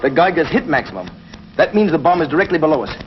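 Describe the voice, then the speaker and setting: An actor playing an urgent, serious scientist in a 1950s television sci-fi serial. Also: male voice, on the high side, big pitch swings, fast, a little hiss or hum. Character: urgent scientist doctor aboard a time-travelling spaceship. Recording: dull vintage 1950s TV soundtrack.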